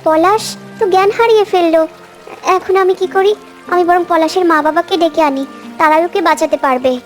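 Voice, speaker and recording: A woman's voice: very high voice, a little fast, soft background music. A woman voicing a brave little cartoon girl. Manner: earnest, pleading, bold. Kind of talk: cartoon voice acting.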